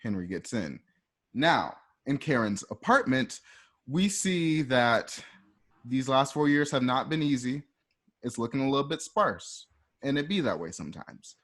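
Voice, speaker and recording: A man with a medium-pitched voice serves as narrator for a radio drama. He reads out scene directions informally, a little haltingly, dropping in asides. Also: sing-song, a bit bright.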